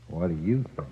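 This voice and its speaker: An actor playing the eerie, taunting ghost of an executed convict with slangy speech, in 1940s radio style. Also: male voice, low-pitched, exaggerated voice. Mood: sly, taunting. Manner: slow, uneducated slangy speech. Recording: echoing, muffled old radio recording.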